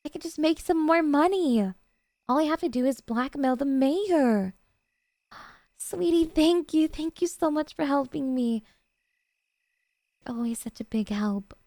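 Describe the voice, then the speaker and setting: Soft, mellow yandere girlfriend, acted by a woman. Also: high voice, lively intonation, measured pace, long pauses, no room sound, studio quality. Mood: excited, grateful. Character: sweet, possessive, obsessive young woman kidnapper girlfriend. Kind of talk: ASMR roleplay.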